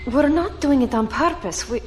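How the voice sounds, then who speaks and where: A woman's voice: high voice, moderate pace, slight background noise, close to an everyday voice. A woman playing a polite young ensign in a science fiction audio drama, with an earnest, deferential manner. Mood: flustered, defensive.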